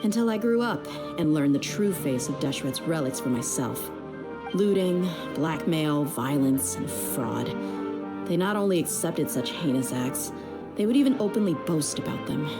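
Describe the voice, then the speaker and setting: A woman's voice: low voice, lively intonation, moderate pace. A woman playing a tough, easygoing young mercenary in a video game, frank and self-assured. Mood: disdainful. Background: background music.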